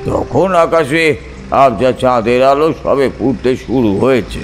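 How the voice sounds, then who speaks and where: Male audiobook narrator, unhurried, medium pitch, scripted storytelling, faint music behind. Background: soft background music.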